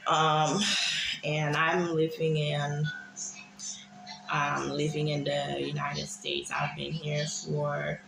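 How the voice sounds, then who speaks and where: A woman from Haiti giving a personal, confessional monologue to viewers in a hesitant, halting way, often repeating herself. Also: sits low, flat delivery, vocal fry, reverberant, over music, rough recording. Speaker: vlogger sharing personal experience.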